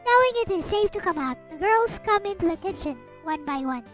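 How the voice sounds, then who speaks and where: A woman's voice: animated, brisk. A woman with a girlish voice reading a visual novel aloud as a streamer, with playful casual commentary. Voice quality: high, girlish voice. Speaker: streamer, host. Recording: music behind the voice.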